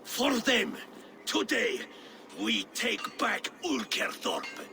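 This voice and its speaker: An actor playing an old, frail Norse chieftain, proud and fatherly, with a gravelly voice. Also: high-pitched, wildly swooping pitch, drawn-out words, exaggerated voice. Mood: rousing. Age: older. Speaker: aging jarl of a Norse clan. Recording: game audio with ambient noise.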